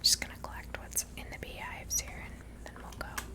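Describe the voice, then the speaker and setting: A woman softly whispering ASMR commentary as she plays a video game, gently musing aloud. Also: moderate pace. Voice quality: soft breathy whisper. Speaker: host playing a video game.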